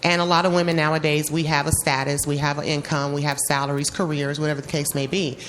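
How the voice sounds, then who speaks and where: A woman's voice: deep voice, flat delivery, fast, a bit bright, slight background noise. A woman audience member making an informal, opinionated comment at a public forum, emphatic and conversational.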